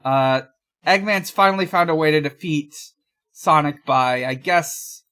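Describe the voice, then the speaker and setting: A podcast host talking casually in a halting, hesitant way, often pausing and trailing off. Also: medium pitch, fairly bright tone, smooth. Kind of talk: casual podcast discussion and recap.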